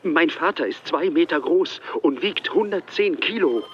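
A man's voice: fairly high pitch, lively intonation, measured pace. An actor playing an agitated man denouncing his father to a hotline, insistent, through a telephone filter in a radio drama. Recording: dull phone line, ringing phones behind.

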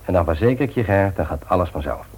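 A sensible married man in a radio play. His voice is deep and somewhat husky, and he reassures in a measured, matter-of-fact way. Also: lively intonation, darkish tone, poor audio. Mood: reassuring.

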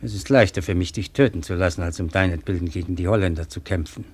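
An actor playing a weary, calculating old Malay ruler in a radio drama, commanding and deliberate in manner. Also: male voice, low voice, darkish tone, breathy edge. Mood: stern, threatening. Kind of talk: German radio drama acting.

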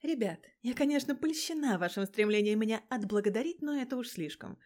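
A woman's voice: fairly high pitch, expressive, fairly quick, a little mellow, lightly acted. A woman voicing a brash, foul-mouthed, suspicious petite policewoman in an audio story, blunt and slangy. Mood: wry, reluctant.

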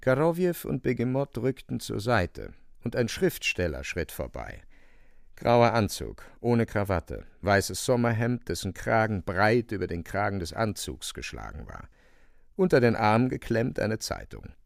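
Male narrator for radio drama: low, gravelly, wry, highly animated intonation, dry studio sound. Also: a little slow, no room sound.

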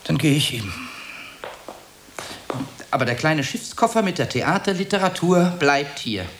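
A man's voice: fairly high pitch, expressive, unhurried, fairly bright tone. A man playing a bitter, theatrical departing lover in a radio drama, melodramatic and clipped. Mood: bitter, dramatic. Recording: roomy echo, faint hiss.

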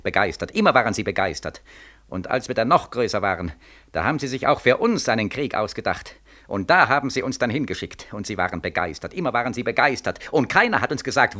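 An impassioned, bitter young war veteran. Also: male voice, fairly low pitch, a bit bright. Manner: impassioned, declamatory, bitterly sarcastic, insistently repetitive. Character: embittered young soldier back from war, desperate and accusing. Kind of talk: 1940s radio play acting. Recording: vintage 1940s radio recording.

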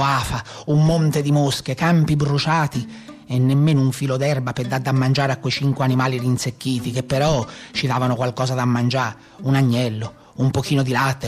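A man's voice: mid-range voice, natural voice. An actor playing a rustic bandit with a Tuscan accent, telling his hard life in a plain, folksy theatrical monologue. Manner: plain-spoken, folksy storytelling. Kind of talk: theatrical monologue in a radio play.

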